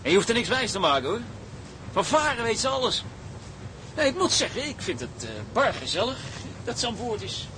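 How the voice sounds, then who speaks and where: A man's voice: sing-song, a little fast. An actor playing an easygoing engine-room seaman, chatty and colloquial, in a naturalistic drama. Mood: fond. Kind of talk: naturalistic Dutch drama acting. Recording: clear rumble and outdoor ship noise.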